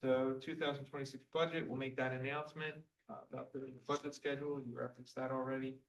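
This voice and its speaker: A man giving the manager's report at a township board meeting in an even voice, with many ums and uhs. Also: medium pitch, quick pace, far from the mic, rough recording. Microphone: table microphone.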